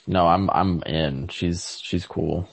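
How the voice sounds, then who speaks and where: Very deep, gravelly-voiced man. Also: takes it slowly. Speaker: podcast co-host. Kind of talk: casual literary discussion. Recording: lo-fi podcast audio.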